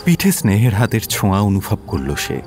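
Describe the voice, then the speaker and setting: A man's voice: fairly low pitch, huge pitch swings, fairly quick, noisy background. A man reading scripted narration for an audio detective story. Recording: music under the voice.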